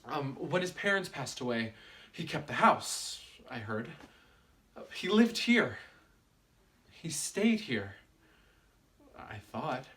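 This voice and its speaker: Guy reading an apologetic woman, slow, halting, kinda far from the mic. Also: mid-range voice, sing-song, a bit bright. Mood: hesitant. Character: hesitant apologetic woman returning home. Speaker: actor performing a monologue. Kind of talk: audition monologue.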